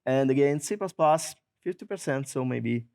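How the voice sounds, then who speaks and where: A man with an Italian accent presenting at a tech conference, speaking in a middle-pitched voice. He keeps it light, tosses in jokes and chuckles, and fills gaps with plenty of uhs. Sounds like a presenter mic in a conference hall. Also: expressive, normal speed, vocal fry, darkish tone. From Italy. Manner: joking, chuckles at own jokes, many filler uhs. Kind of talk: technical conference talk.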